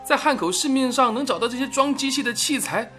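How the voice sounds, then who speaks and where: An actor playing a talkative, earnest wartime radio engineer in a radio drama, with an animated, exclamatory manner. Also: male voice, very high voice, quick pace, soft background music, heavily acted. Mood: lively, teasing.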